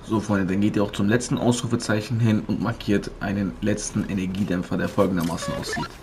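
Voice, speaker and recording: A man's voice: on the low side, fairly even intonation, fast, over music. A man doing walkthrough commentary as a gaming video creator, explaining things step by step to viewers. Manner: explanatory, addresses viewers as friends.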